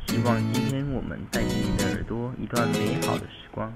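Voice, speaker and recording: A man's voice: lowish voice, fairly even intonation, brisk, loud music behind the voice. A teenage young man narrating a scripted story for a student radio drama. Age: teens.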